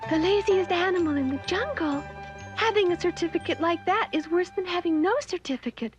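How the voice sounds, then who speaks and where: A dainty cartoon impala, young, proud and teasing. Her voice is extremely high, with music playing behind it. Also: fairly quick. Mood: dismissive. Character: graceful young female impala, coy and teasing. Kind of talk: animated children's story acting.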